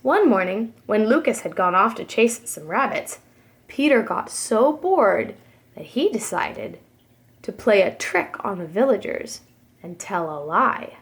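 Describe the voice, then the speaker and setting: A woman's voice: mid-range voice, measured pace. A woman preschool teacher reading a storybook aloud expressively for young children. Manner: expressive, addresses young listeners.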